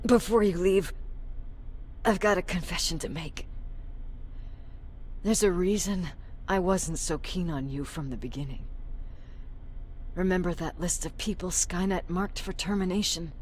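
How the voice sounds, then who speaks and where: An actress playing a tough, wounded military commander, blunt and grimly resolute, in a dramatic video game. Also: female voice, on the low side, unhurried, long pauses, a little hiss or hum, natural voice. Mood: weary confession.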